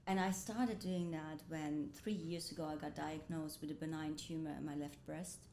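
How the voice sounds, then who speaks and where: A woman's voice: fairly even intonation, normal speed. A woman who grew up between Indonesia, Germany and the US, telling her life story as a guest in a reflective way. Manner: reflective, frequent soft laughs. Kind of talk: personal storytelling in conversation. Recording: roomy echo with faint rumble.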